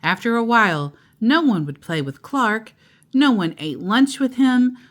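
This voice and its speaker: A woman reading a children's picture book aloud in an expressive, playful way, with chatty asides. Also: on the high side, a little slow. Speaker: storytime host and narrator.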